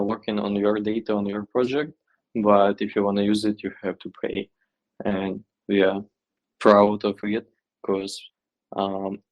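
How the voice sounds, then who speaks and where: A man from Ukraine, a startup guest answering interview questions in measured, English. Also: lowish voice, poor audio. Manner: measured, non-native phrasing. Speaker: guest, tech startup representative.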